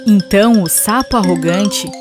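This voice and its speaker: A woman's voice: medium pitch, normal speed. A woman with a Brazilian accent narrating a scripted children's fable over background music.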